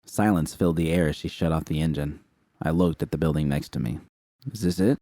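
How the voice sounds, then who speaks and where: A man narrating a first-person fan story in a steady, unhurried reading. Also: very deep voice, dry recording, clean studio sound. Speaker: fan fiction reader.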